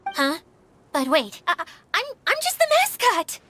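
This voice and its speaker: Game role: high, swooping voice for a meek, weepy young mascot who swings to bold outbursts. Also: female voice, huge pitch swings, a little slow, sharp tone, slight background noise, exaggerated voice. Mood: flustered. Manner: flustered, melodramatic, swings between meek and bold. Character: dramatic young woman mascot, timid and quick to cry. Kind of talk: game cutscene voice acting.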